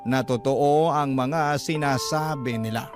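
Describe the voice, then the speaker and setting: A man's voice: mid-range voice, measured pace. A man reading a listener's love-story letter aloud in an earnest, dramatic storytelling manner. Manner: earnest storytelling, addresses the host. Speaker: letter reader. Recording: music bed under voice.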